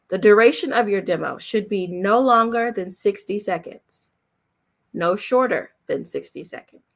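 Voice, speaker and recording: A woman's voice: medium pitch, a bit bright. Emphatic woman coaching informally. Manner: emphatic, instructive, repeats key points, checks in with "okay?". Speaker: voice-over coach and demo producer. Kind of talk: informal teaching talk, coffee chat webinar.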